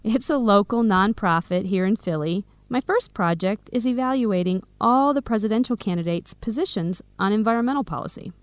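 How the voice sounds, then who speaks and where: A woman's voice: mid-range voice, a little fast, hardly any pauses, no room sound, lightly acted. A woman acting an earnest young nonprofit worker and affectionate friend in a scripted radio drama. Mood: earnest, explaining.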